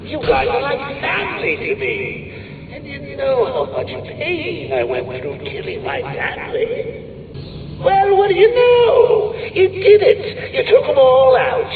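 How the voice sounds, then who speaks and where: A man's voice: very high-pitched, wildly swooping pitch, takes it slowly, roomy sound, far from the mic, narrow, tinny audio, a little hiss or hum, heavily acted. An actor playing a manic, sadistic male clown criminal in a video game, mocking and theatrical with cackling laughs. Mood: gleeful.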